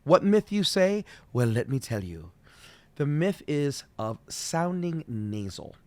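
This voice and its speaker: A middle-aged man teaching as a vocal coach, friendly and explaining slowly with demonstrations. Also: on the high side, big pitch swings, darkish tone, no room sound. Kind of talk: solo video voice lesson.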